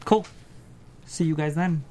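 An actor at a table read playing an awkward but cheeky teenage boy surfer with slangy, halting speech. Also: mid-range voice, warm tone, slight background noise, close to an everyday voice. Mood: awkward, defiant.

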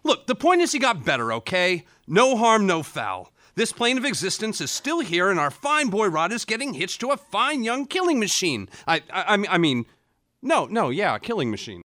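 Man acting nervous police officer, tense bright voice, animated, stammering, defensive, radio drama. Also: sits high, normal speed, no echo, clean studio sound, full character voice. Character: jittery, defensive male police officer, flustered over romance.

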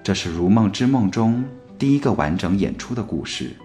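A man's voice: fairly low pitch, lively intonation, moderate pace, dark tone, faint music behind. A man narrating a scripted story for an amateur radio play.

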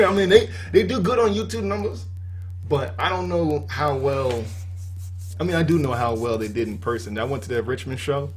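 A man's voice: a little high, animated, normal speed, poor audio. A man co-hosting a podcast, chatting loosely in slangy, rambling casual talk. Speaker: podcast co-host. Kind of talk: casual chat.

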